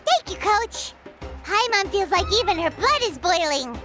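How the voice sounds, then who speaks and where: A woman voicing a tiny, excitable, cheerful fairy girl companion with a squeaky childlike voice in a video game. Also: a little slow, exaggerated voice. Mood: excited, cheeky. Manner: refers to self by name, exclamatory. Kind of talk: animated video game voice acting. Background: music.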